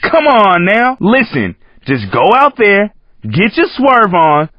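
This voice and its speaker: A man's voice: sits high, sing-song, slow pace, dry recording, heavily acted. A man voicing a cocky, hip, streetwise American racing coach in a video game, slangy and brash. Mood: cocky goading. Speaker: race announcer in a driving game. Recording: muffled lo-fi game audio.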